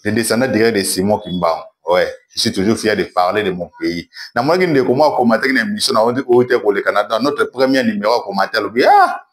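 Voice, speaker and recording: A man's voice: fairly low pitch, fairly quick, hardly any pauses. A man with a Congolese accent hosting an online commentary show, speaking in a declamatory way with emphatic repetition. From Democratic Republic of the Congo. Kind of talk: animated political commentary to camera, mixing French and Lingala.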